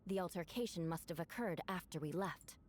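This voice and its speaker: A woman's voice: fairly low pitch, a little fast, darkish tone, slight background noise, close to an everyday voice. An actress playing an earnest young woman adventurer in a game, giving careful testimony. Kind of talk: English game voice acting.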